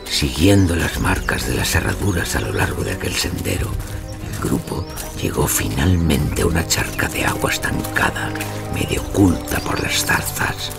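A man narrating a dramatised mystery tale as a solemn, gravelly storyteller. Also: very deep voice, sing-song, normal speed, over music, exaggerated voice. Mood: measured. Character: solemn storyteller of a 19th-century village mystery.

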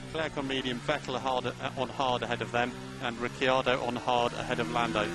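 A man working as a race engineer, giving terse, measured instructions to a driver over team radio and repeating key calls. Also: mid-range voice, little pitch movement, heavy background noise.

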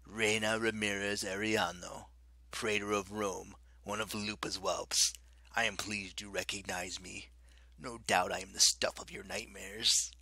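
A man voicing a mocking ancient werewolf king, speaking low and quiet with silky menace. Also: level tone, measured pace. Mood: menacing.

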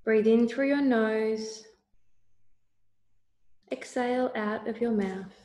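A woman leading a guided meditation with calm, soothing, deliberate instructions. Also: a little high. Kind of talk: slow guided meditation narration. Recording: roomy, echoey voice recording.

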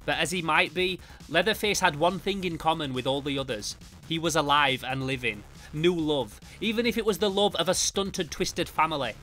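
A man voicing a somber horror comic narrator in a hushed, grave manner. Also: fairly high pitch, moderate pace, faint music behind, poor audio. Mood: brooding.

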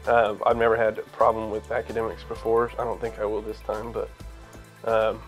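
A man's voice: fairly low pitch, completely flat delivery, a little fast, faint music behind. A man in his twenties, an incoming law student, speaking plainly in an interview. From Oklahoma. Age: 20s. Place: indoor room.

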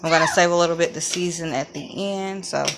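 A woman's voice: on the low side, a little fast, noisy background. A woman chatting casually through a home cooking video, walking through each step with frequent ums. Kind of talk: casual cook-along narration. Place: home kitchen at the stove.

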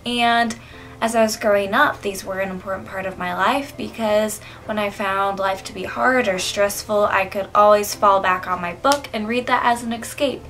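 A sixteen-year-old girl from the United States speaking earnestly and calmly to camera. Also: medium pitch, moderate pace, slight background noise, low-quality recording.

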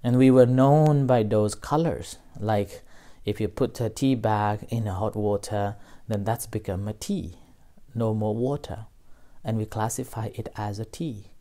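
Slow, soft-spoken man giving a dharma talk. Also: on the low side. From Nepal. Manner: slow, gentle, with pauses and fillers. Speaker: meditation teacher. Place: small room indoors.

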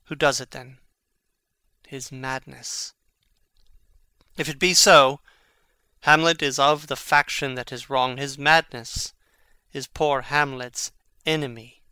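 An actor playing Hamlet, a brooding, witty young prince, in a measured, theatrical Shakespearean reading. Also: male voice, medium pitch, steady tone, bright, forceful tone, clear, resonant tone, no echo. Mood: earnest, contrite. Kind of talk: dramatic reading of Shakespearean verse.

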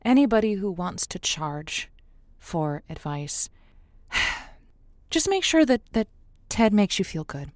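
An actress playing a kind, encouraging woman who hosts an advice call-in show, with a gentle, folksy, reassuring manner. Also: medium pitch, big pitch swings, slow pace, dry recording, clean studio sound. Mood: concerned. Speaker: radio advice host. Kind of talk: audio drama as call-in show.

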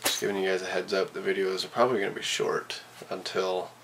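Low-voiced young Canadian man, noisy recording. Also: level tone, fast. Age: 20s. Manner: laid-back, slangy, rambling. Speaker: vlogger. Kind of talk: casual vlog chat. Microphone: camera's built-in mic, handheld or at arm's length. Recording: noisy handheld camera audio, vehicle and ambient noise.

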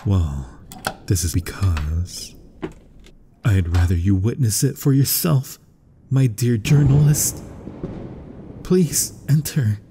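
A man playing a proud, obsessive mad scientist in a gothic horror ASMR roleplay, husky and breathy, speaking formally and theatrically and often dropping toward a whisper. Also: on the low side, slow pace, pauses often, background noise. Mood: eager, enticing.

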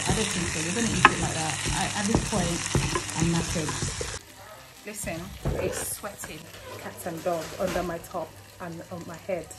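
A woman's voice: mid-range voice, very noisy. A young woman chatting casually and expressively as she cooks in her home kitchen, filming on a handheld phone. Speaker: cooking vlogger. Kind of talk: casual cook-along vlog chat. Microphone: phone held in hand. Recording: music playing underneath.

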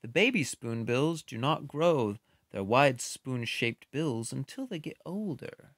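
Unhurried, lively man reading aloud. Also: mid-range voice, dry recording, clean studio sound. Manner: expressive, unhurried, with playful asides. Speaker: picture book reader. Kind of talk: children's book read-aloud with casual asides.

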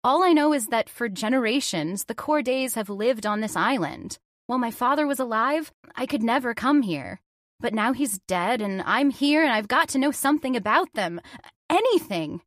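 A woman's voice: fairly high pitch, lively intonation, moderate pace, dry recording, clean studio sound, natural voice. A woman playing an anxious, insistent young woman in an old-time radio horror drama, pleading and questioning dramatically. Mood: frustrated, pleading.

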